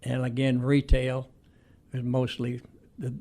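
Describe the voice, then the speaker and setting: A man's voice: medium pitch, completely flat delivery, slow pace, warm tone. A man in his eighties from Indiana, reminiscing as an interview guest in a plain-spoken, hesitant way. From Indiana, United States. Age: 80s. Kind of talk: conversational reminiscing interview answers.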